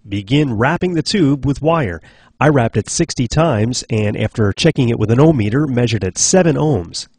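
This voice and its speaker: A man's voice: mid-range voice, darkish tone, no room sound. A man hosting a do-it-yourself project show, narrating scripted how-to instructions step by step in an instructional manner. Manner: clear step-by-step instructional delivery. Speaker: host of a DIY project show.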